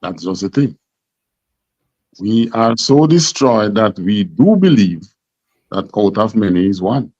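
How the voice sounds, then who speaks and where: A man's voice: lowish voice, very animated, measured pace, long pauses, darkish tone, no echo. A man with a Jamaican accent teaching a scripture class, emphatic and full of rhetorical questions. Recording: dull lo-fi sound.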